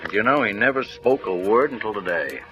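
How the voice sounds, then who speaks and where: A sombre American doctor in an old radio play. His voice is on the low side, quiet and clinical, with music clearly behind it. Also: animated, a little fast, lo-fi sound. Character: sombre, matter-of-fact doctor in a hospital. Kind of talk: 1940s radio drama acting.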